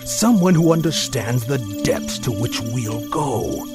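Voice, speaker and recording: Sinister ageless carnival showman, insinuating and grandiose, big pitch swings, loud music underneath. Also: expressive, slow pace. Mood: menacing. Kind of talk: audio drama acting.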